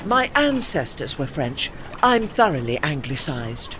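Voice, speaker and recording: A woman's voice: sits low, very animated, unhurried, noisy background. An actress playing a haughty, dry-witted old lady ship with an arch, formal manner in a period audio drama. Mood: imperious, dry.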